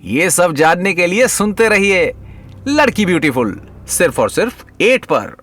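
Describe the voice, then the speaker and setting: A man's voice: high-pitched, sing-song, unhurried, a bit bright, faint music behind. A man narrating a comic audio story in a playful, teasing, dramatic way.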